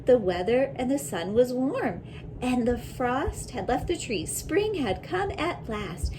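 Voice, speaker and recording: A woman with a fairly high voice reads a children's story aloud at a very slow pace. She is deliberate and breaks off for reflective questions, as a teacher would. Clear background noise runs through the recording. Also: very animated. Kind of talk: children's picture book read-aloud with asides.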